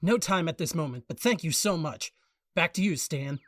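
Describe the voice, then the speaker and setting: A man's voice: a little high, expressive, normal speed, a bit bright, dry recording. Man playing a punchy TV field reporter. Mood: newsy. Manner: newscaster cadence, dramatic. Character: upbeat male TV field reporter. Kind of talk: audio drama acting.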